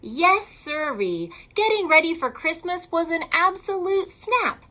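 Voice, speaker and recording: Woman storyteller for a picture book: slow, deliberate pacing, high register, emphatic delivery. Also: lively intonation, bright, forceful tone. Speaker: elementary school teacher reading aloud. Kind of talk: expressive children's picture book read-aloud.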